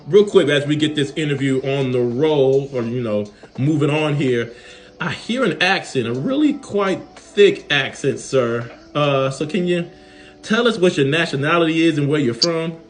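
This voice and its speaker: A man's voice: fairly high pitch, measured pace, faint music behind. Easygoing male interview host. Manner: friendly, easygoing, lots of okays and fillers. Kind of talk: casual interview hosting.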